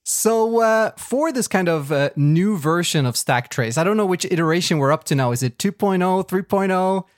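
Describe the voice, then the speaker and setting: A man's voice: sits high, lively intonation, normal speed, few pauses, no echo, studio quality. A man whose first language is Swedish, co-hosting a tech podcast in casual chat, rambling with lots of fillers and restarts. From Sweden. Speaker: podcast co-host.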